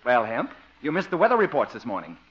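An actor playing a prissy, sour, condescending boss with a fussy, curt manner in a 1950s radio comedy. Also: male voice, mid-range voice, huge pitch swings, fast talker, a bit bright, husky, full character voice. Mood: scornful. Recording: noisy, dull 1950s radio recording.

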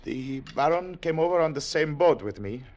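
An actor playing a wary, courteous confidential agent who narrates in a measured, grave way in an old radio drama. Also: male voice, a little high, lively intonation, a little mellow, no room sound, muffled recording, lightly acted. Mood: guarded, courteous. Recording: noisy vintage radio drama recording.

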